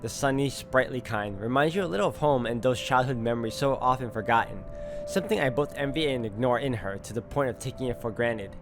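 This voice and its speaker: A man with a medium-pitched voice narrates a visual novel in the first person. He is measured and introspective, with music playing faintly behind him.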